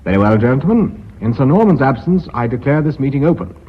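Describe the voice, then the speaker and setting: A brisk, authoritative English vice chairman in a radio play. His voice is husky and swings widely in pitch, formal yet harried. The recording is dull and lo-fi. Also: sing-song. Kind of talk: British radio drama acting.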